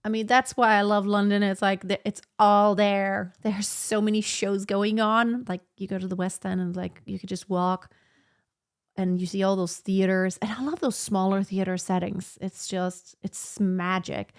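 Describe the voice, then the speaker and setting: Thirty-something woman vocal coach, rambly live-stream chat, lots of um and like, middle pitch. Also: flat delivery, normal speed, clear, resonant tone. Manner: chatty and rambling, many fillers and restarts. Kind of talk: casual live-stream chat reading viewer comments. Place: home in southern Germany.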